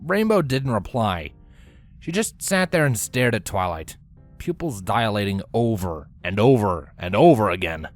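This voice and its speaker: A man's voice: fairly low pitch, sing-song, unhurried, soft background music. A man giving scripted narration of a fan fiction story as the narrator.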